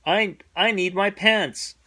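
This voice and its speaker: Guy reading as worried little schoolboy in a storybook, pleading and embarrassed, higher bright voice. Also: takes it slowly, full character voice. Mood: panicked. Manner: exclaiming, pleading.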